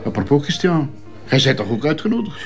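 A man's voice: mid-range voice, big pitch swings, fast talker, faint music behind. A man with a Flemish accent acting a jovial, smug, gossip-loving businessman in a radio drama, breezy and chuckling. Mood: breezy, hurried. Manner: chuckling, breezy, throws in English phrases.

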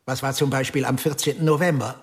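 A man voices a patient, probing detective in a radio drama. His voice sits at an ordinary male pitch, level and measured, and it keeps pressing without raising itself. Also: fairly even intonation, lightly acted. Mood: steady. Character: calm, probing male police investigator. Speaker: police detective.

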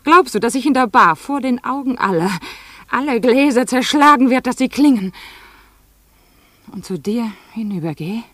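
Wounded, yearning female lead, radio drama: breathy, raspy-edged, intimate, dry studio sound. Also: on the high side, expressive, moderate pace, a bit bright, no room sound. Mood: desperate yearning. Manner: poetic, questioning, sliding into whispers. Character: wounded, yearning rich woman confiding in her boatman.

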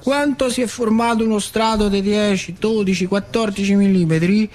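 An actor playing a plainspoken, wistful older factory worker with a central Italian, Lazio accent, in a theatrical monologue with colloquial repetitions. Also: male voice, sits high, steady tone, moderate pace, faint music behind, lightly acted. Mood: animated, emphatic. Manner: colloquial, repetitive, dramatic swings from emphatic to hushed. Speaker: stage actor.

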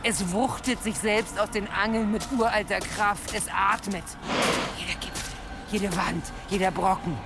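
An ominous mountain prophetess with a middling pitch. She speaks in portentous, incantatory phrases and taunts, in audio-drama style over music. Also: fairly even intonation, unhurried, gravelly. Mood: ominous, fervent. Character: ominous, taunting village woman, old-crow prophetess of the mountains. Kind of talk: German audio drama acting.

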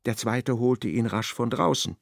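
A man narrating a literary radio drama in a measured, precise, dry manner. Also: medium pitch, creaky, no room sound.

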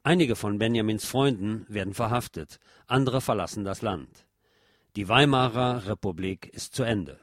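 A man's voice: on the low side, normal speed, a little hiss or hum. A man reading scripted biographical narration as a radio narrator.

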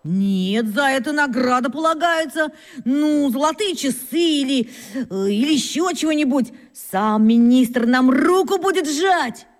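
High-voiced cocky schoolboy. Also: female voice, lively intonation, measured pace, long reverb tail. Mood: dreamy boasting. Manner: cocky, swaggering, emphatic storytelling. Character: boastful schoolboy, fifth grader, self-important would-be detective. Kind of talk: children's radio play acting.

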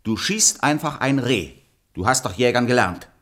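An actor playing a sly, cheerful and helpful puppet-play trickster in a radio play, persuasive and playful. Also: male voice, mid-range voice, lively intonation, moderate pace, a bit bright. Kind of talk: German radio play acting.

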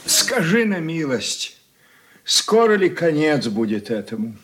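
Slow-spoken, stern old father. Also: fairly high pitch, very animated, a little hiss or hum. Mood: weary, reproachful. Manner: blunt, admonishing. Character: stern, wounded old father, patriarch of a merchant family. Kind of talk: stage-style radio drama acting.

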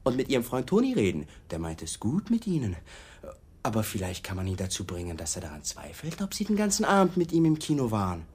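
An actor in a German radio crime drama playing a pushy police detective who is mock-polite, probing and slyly insinuating. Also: male voice, fairly low pitch, huge pitch swings, quick pace, darkish tone, slightly rough, full character voice. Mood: needling.

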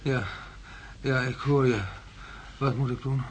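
An actor playing a tormented space commander under hypnosis, husky and halting, repeating his words in a radio drama. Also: mid-range voice, flat delivery, slight background noise, close to an everyday voice. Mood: dazed.

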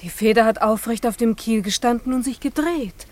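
An actress playing an uneasy, superstitious wife in a German radio play, speaking with apprehensive wonder. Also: female voice, fairly high pitch, moderate pace, close to an everyday voice.

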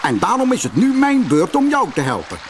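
A man voicing a grateful, helpful frog in a storybook, with a croaking voice. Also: high voice, huge pitch swings, fairly quick, slight background noise, pitched above the performer's own voice.

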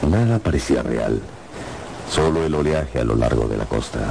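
An actor playing a grave seafarer who recounts a colonial river journey in solemn, literary radio-drama narration. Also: male voice, extremely low pitch, lively intonation, moderate pace, creaky, heavy background noise, exaggerated voice. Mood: brooding. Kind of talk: dramatized first-person audiobook narration. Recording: loud music bed under the voice.

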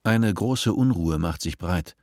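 A man narrating a radio play in a measured, sober way. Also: deep voice, animated, darkish tone, a little hiss or hum.

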